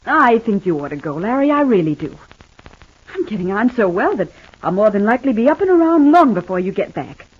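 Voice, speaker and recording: An actress playing a devoted, gentle wife recovering in hospital in a 1950s radio soap, speaking tenderly and earnestly. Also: female voice, medium pitch, sing-song, a little fast, husky. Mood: earnest, pleading. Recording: dull, band-limited old radio recording.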